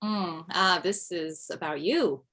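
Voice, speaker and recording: A woman's voice: lowish voice, takes it slowly, a bit bright, exaggerated voice. A woman playing a dry, hesitant middle-aged widowed mother who used to do standup, in a comedy table read. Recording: video call.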